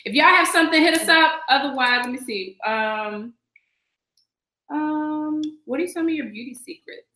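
A woman co-hosting a podcast live stream, talking with lively enthusiasm and lots of hesitant fillers. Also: high-pitched, moderate pace, roomy sound. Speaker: podcast co-host. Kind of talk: casual live-stream chat.

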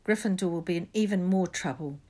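A woman's voice: fairly low pitch, brisk, a little mellow, lightly acted. A woman voicing a timid but stubborn schoolboy in a storybook, stammering and determined. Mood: defiant, nervous.

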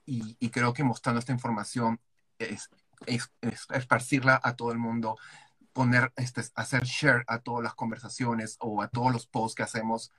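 A man's voice: medium pitch, flat delivery, moderate pace, sharp tone, slightly rough. A man speaking with passion against hate and stigma, repeating words for emphasis. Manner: impassioned, repetitive emphasis. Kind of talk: casual panel conversation.

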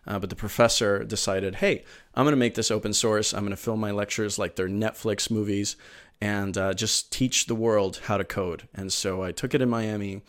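A man's voice: fairly low pitch, fairly even intonation, normal speed. A man from Venezuela, in his thirties, chatting eagerly as an interview guest, rambling with lots of fillers and restarts. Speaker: podcast guest. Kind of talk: casual interview storytelling.

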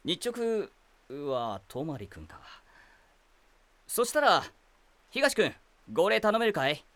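A man plays a composed male teacher who addresses his students formally. His voice sits fairly high for a man and rises and falls a great deal. He speaks in a measured, mildly lecturing manner. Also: very animated, fairly bright tone, exaggerated voice. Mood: calm. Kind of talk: amateur voice drama.

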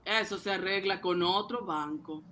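A high-pitched, bright old male ghost calls from the beyond, acted out by a man. He draws out his exclamations in a quavering voice, in the manner of a homemade radio drama. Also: moderate pace. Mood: emphatic. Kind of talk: amateur radio drama acting.

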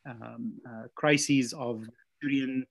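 A man, a seminary teacher and former pastor, speaking in a measured way as a guest at a church service, often pausing with "uh" and "um". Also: medium pitch, fairly even intonation, vocal fry. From Egypt. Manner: measured, many "uh" and "um" hesitations.

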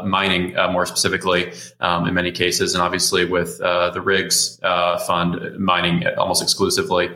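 Low, bright-edged man moderating casually. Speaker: interview host, moderator. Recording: roomy echo.

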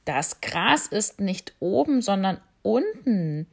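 Muttery rhino kid from a storybook, grumbly, lady doing the voice, kinda raspy. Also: medium pitch, very animated, takes it slowly, heavily acted. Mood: grumbling. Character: young rhino, grumbling and dismissive.

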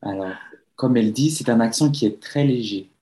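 A man's voice: medium pitch, normal speed, dark tone. A man hosting, talking casually with a listener and hesitating often over his words. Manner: hesitant, with many fillers. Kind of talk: casual commentary.

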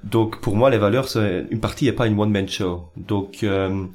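Jeune Belge germanophone, hésitant. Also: male voice, lowish voice, moderate pace, darkish tone. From German-speaking Belgium. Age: young adult. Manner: hesitant, many fillers and restarts, searches for words. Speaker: podcast guest. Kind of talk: political podcast discussion.

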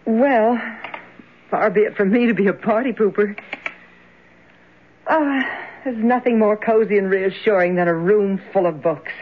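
A woman playing a genteel, spirited young lady, uneasy but firm, in expressive old-time radio drama style. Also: mid-range voice, a little slow, a little hiss or hum, natural voice. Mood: uneasy, playful. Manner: expressive, theatrical. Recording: muffled lo-fi old radio broadcast.

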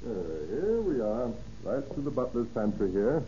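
An actor playing a polite, fidgety landlord with a hesitant, apologetic manner in an old-time radio drama. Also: male voice, medium pitch, sing-song, normal speed, natural voice. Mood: polite, uneasy. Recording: dull, noisy old radio recording.

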